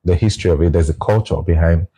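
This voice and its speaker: A Nigerian man talking through his views in a relaxed conversation, often restarting his phrases. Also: deep voice, fast, husky. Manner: reflective, restarts phrases, explains with examples. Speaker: guest. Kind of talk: casual conversation.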